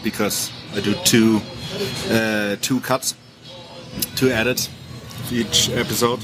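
A man's voice: lowish voice, little pitch movement, takes it slowly, rough recording. A man speaking English with a German accent, chatting casually on a podcast in a thoughtful, hesitant, self-deprecating way. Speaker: podcast co-host. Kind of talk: casual chat. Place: Japanese supermarket eatery. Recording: chatter of a crowd behind.

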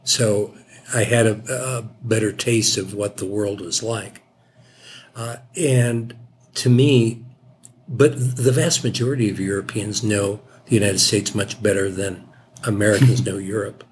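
Measured older man, faint ambience. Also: on the low side, fairly even intonation, a little hiss or hum. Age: 70s. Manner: measured, reasoned, with many restarts. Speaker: podcast guest, host's father. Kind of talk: casual chat.

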